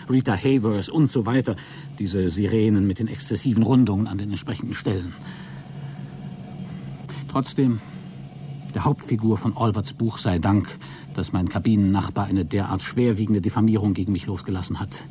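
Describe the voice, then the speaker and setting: Radio drama role, male actor: prudish, coldly calculating male astronaut, formal log delivery, soft dark timbre, slight background noise. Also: lowish voice, moderate pace, close to an everyday voice. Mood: offended, righteous. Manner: formal, self-righteous, wordy. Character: wounded, prudish, coldly scheming male space traveller. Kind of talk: radio drama monologue, spoken log entry.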